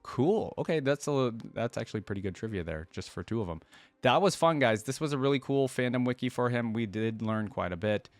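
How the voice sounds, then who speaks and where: A man's voice: medium pitch, expressive, brisk, no room sound. A man giving casual commentary as he reads aloud and reacts, hosting a game reaction video.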